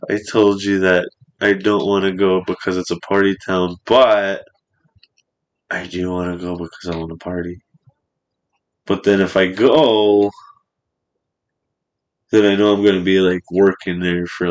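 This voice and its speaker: A man's voice: low-pitched, flat delivery, measured pace, clean tone. A man in his twenties chatting casually on a podcast in a studio, rambling and swearing freely. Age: 20s.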